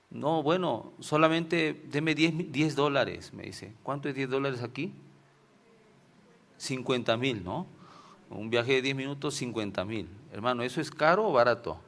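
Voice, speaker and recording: A man's voice: mid-range voice, measured pace, creaky, a bit bright. A man from Venezuela with a Venezuelan Spanish accent, preaching an informal sermon and telling stories, often ending phrases with tag questions. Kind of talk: informal sermon with personal anecdotes.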